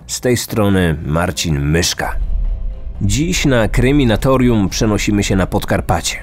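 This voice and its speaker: A man's voice: on the low side, darkish tone. Man narrating true crime over music. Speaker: true crime podcast host. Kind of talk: scripted narration.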